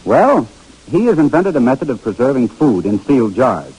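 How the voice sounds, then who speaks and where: An actor as a determined young inventor. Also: male voice, medium pitch, very animated, normal speed, a little mellow, husky, poor audio. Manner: earnest, persuasive, slightly stammering. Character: determined young male inventor narrating his tale. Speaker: narrator and lead character. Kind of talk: 1940s radio drama acting. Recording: old radio broadcast with music.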